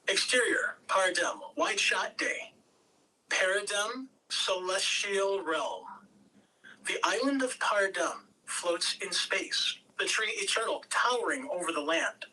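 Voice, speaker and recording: An amateur script reader narrates action and scene headings dramatically. The voice is bright and takes its time, heard away from the mic with some background noise. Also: medium pitch, animated, slow, distant mic, rough recording. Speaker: script reader at a table read. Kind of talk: expressive amateur reading of screenplay directions.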